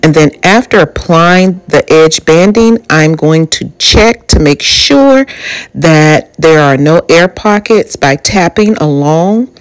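Low-voiced woman narrating home DIY. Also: animated, slow, smooth, low-quality recording. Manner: step-by-step explanatory, with filler ums. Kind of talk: voice-over narration of a DIY project.

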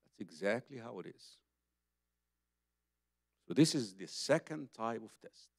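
A man's voice: lowish voice, lively intonation, a little slow, gravelly, no room sound, studio quality. Casting: older male Islamic preacher, Egyptian accent, native Arabic speaker, conversational and emphatic, repeats key phrases, speaks into a stand mic. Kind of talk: conference religious lecture. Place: conference hall stage, seated at table. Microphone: microphone on a boom stand.

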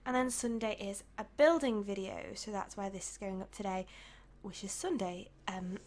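A young woman from the UK with a croaky, tired voice, chatting casually and rambling as a YouTuber over her game build. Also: mid-range voice, fairly even intonation, normal speed. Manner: rambling, chatty, with soft laughs.

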